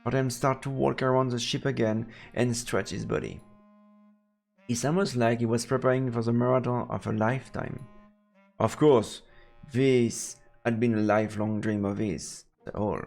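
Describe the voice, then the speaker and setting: A man narrates a visual novel as a streamer, his medium-pitched voice full of creak. He reads haltingly and drops in casual comments. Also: steady tone, a little mellow, soft background music. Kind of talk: let's play narration with casual asides.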